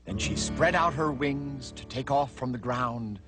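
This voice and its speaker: A man's voice: mid-range voice, unhurried. A man narrating a rhyming children's story with theatrical, dramatic emphasis. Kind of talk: expressive children's read-along narration of rhyming verse. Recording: music behind. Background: music.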